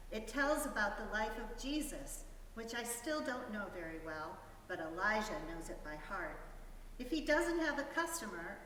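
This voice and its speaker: A young boy voiced by a woman describes things in a measured, earnest storybook reading. The voice is medium in pitch. It is set back from the microphone in a very reverberant space with faint ambience. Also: a bit bright, a little hiss or hum. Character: young boy narrator describing an old friend's carvings. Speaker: reader in a dramatic story reading. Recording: very echoey hall, distant, faint ambience.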